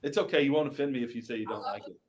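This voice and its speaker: A man's voice: mid-range voice, very fast, darkish tone, a little hiss or hum. A man on a podcast panel over a video call, chatting casually with short interjections and repeated agreement. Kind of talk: casual chat.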